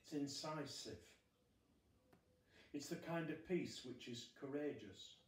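Middle aged guy doing a slow chaplain sermon, lots of pauses, bit far from the mic. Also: mid-range voice. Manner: measured, deliberate, with pauses. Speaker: school chaplain. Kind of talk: short sermon read from notes. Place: small glazed conservatory at home. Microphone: phone camera a few metres away. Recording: roomy, distant phone recording.

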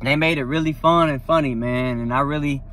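A man's voice: on the high side, fairly quick, fairly bright tone, slight background noise. A young man talking casually to a phone camera from a parked car, rambling and enthusiastic with lots of fillers. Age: young adult. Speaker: vlogger. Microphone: phone at arm's length.

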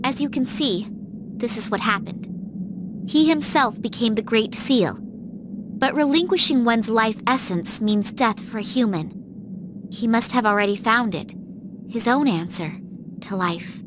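A composed young female android from a video game, voiced by a woman. Her fairly high voice is grave, deliberate and detached, set in clearly noisy ambience. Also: lightly acted.

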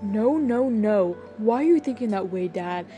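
A woman's voice: medium pitch, animated, a little slow, mellow, soft background music, lightly acted. A woman playing an earnest, exasperated teenage son, pleading emphatically in an amateur game roleplay. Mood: protesting.

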